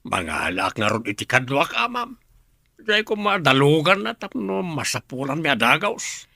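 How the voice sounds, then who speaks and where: A man's voice: on the high side, sing-song, moderate pace, gravelly, bright, forceful tone, dry recording, studio quality, heavily acted. A man with an Ilocano Filipino accent playing a plain-spoken working man in a drama. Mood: deferential. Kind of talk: TV drama acting.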